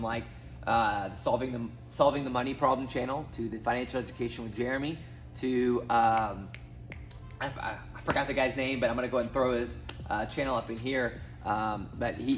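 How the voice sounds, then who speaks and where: Guy in his 30s from missouri rambling on camera, lots of ums, faint music under it. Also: medium pitch. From Southwest Missouri, USA. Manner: rambling, many ums and restarts. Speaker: finance YouTuber. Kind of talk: casual talking-head vlog.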